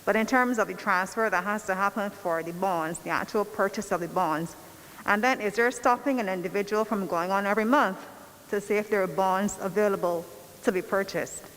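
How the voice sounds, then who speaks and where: A woman's voice: mid-range voice, fairly quick, a bit bright. Woman asking a careful question. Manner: polite, methodical questioning. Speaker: union member asking a question. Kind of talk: question from the floor. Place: meeting hall. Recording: hissy, reverberant hall.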